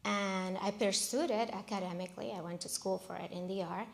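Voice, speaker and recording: A woman's voice: mid-range voice, fairly even intonation, brisk, gravelly, a little hiss or hum. A woman from the Dominican Republic, a native Spanish speaker with a Dominican accent, telling her career story conversationally as a designer on a panel.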